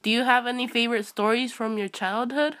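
A woman's voice: fairly high pitch, fairly even intonation, a little fast, fairly bright tone. A woman interviewing her father, asking short, plain questions one after another. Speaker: interviewer, the man's daughter. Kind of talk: casual family interview.

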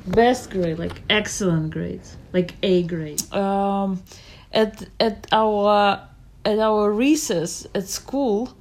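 A woman, answering interview questions about her childhood in an unhurried way, searching for her words. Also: mid-range voice, darkish tone. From Russia. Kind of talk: casual childhood memories.

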